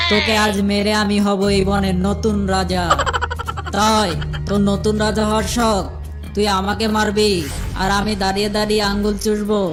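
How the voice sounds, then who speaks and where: A man playing a proud, hot-tempered big cat in a children's cartoon, gruff and boastful. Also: high-pitched, natural voice.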